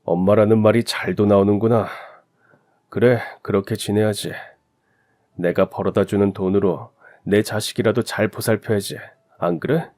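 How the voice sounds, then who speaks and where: A man's voice: fairly low pitch, fairly even intonation, moderate pace, darkish tone, no room sound, studio quality, lightly acted. A man playing a menacing, sarcastic drunken father who sneers accusingly in a radio drama. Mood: sneering menace.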